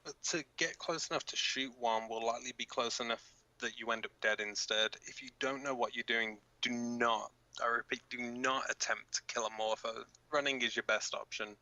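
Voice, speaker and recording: A man's voice: medium pitch, fairly even intonation, normal speed, few pauses, sharp tone, no echo, muffled recording. A man playing a blunt, cynical resistance fighter co-hosting an underground broadcast in a radio drama, speaking informally. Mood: grim warning.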